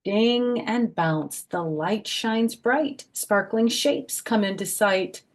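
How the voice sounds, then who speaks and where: A woman hosting a children's storytime, reading a picture book aloud and adding chatty, simple explanations for young listeners, with a husky, creaky voice. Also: mid-range voice, slow pace.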